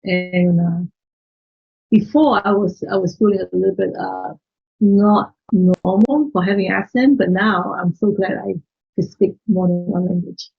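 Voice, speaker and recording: A woman with a Chinese accent answering interview questions in a relaxed, friendly, explanatory way, in slightly dull lo-fi audio. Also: medium pitch, a little slow, gravelly, husky. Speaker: interview guest.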